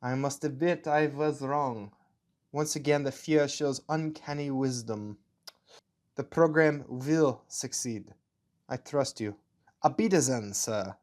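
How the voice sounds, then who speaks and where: Man acting a slow, formal German wartime villain officer. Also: mid-range voice, a little mellow, natural voice. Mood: grudging respect. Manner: slow, deliberate, formal delivery. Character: German Nazi officer in leather coat, formal, menacing.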